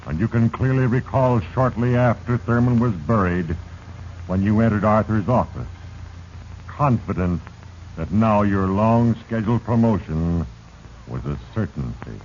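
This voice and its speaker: A man playing Fate, a sinister all-knowing narrator in a 1940s radio drama, with a deliberate, accusing manner. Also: on the low side, expressive, a little mellow. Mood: ominous recounting. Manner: deliberate, heavy-handed, accusing. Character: Fate, sinister omniscient male narrator manipulating doomed men. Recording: muffled, noisy 1940s radio recording.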